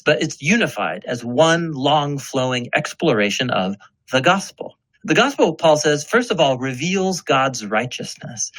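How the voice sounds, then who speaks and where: A man's voice: fairly high pitch, a bit bright, rough recording. A man narrating a scripted educational explainer in a storytelling, explanatory manner.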